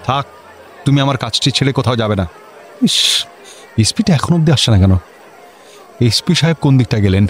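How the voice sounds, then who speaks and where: Actor as a fretful, officious district magistrate. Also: male voice, medium pitch, animated, normal speed, long pauses, faint music behind, natural voice. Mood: panicky, scolding. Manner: officious, peppers Bengali with English. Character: fretful, self-important district magistrate, easily rattled male official. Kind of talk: Bengali radio comedy acting. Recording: faint background noise.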